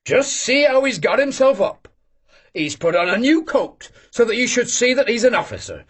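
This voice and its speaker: Guy doing a loud old cossack hunter, shouty and teasing, husky high voice. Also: very animated, moderate pace, exaggerated voice. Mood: bantering.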